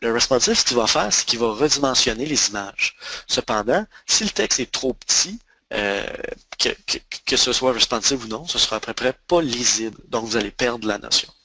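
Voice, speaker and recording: A man in his thirties with a Quebec French accent, giving a webinar on email marketing and often pausing on fillers and restarts. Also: mid-range voice, animated, sharp tone, slight background noise. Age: 30s. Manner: hesitant, many fillers and restarts. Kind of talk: webinar presentation.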